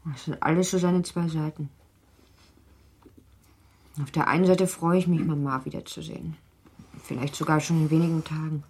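Husky, lower-voiced young wife. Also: female voice, steady tone, normal speed, pauses often, a little mellow. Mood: hesitant, pleading. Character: young, pampered wife, affectionate, anxious yet willful. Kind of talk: German radio play acting.

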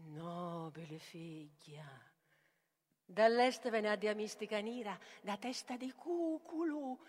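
A woman playing a solemn mystic guide of the dead in a theatrical, incantatory poetic recitation with drawn-out phrasing. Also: high voice, heavily acted. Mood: ominous, declamatory. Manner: incantatory, drawn-out, swinging from whispers to loud declamation. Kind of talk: theatrical poetic recitation, avant-garde stage reading. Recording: long hall-like reverb.

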